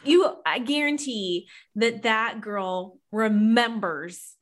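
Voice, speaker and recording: A woman's voice: a little high, slow pace. A middle-aged woman talking casually and earnestly about faith as a podcast guest, with emphatic, informal phrasing. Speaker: podcast guest, Bible study author.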